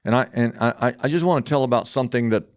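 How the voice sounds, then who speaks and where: A man's voice: mid-range voice, quick pace, darkish tone, smooth, no room sound, studio quality. A man hosting an interview in a conversational, earnest way, repeating phrases for emphasis. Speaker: interview host.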